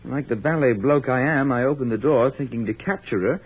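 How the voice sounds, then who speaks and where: An actor playing a jaunty, wisecracking adventurer with breezy banter in 1940s radio style. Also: male voice, mid-range voice, a little fast, breathy edge, natural voice. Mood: explaining. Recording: muffled old radio recording.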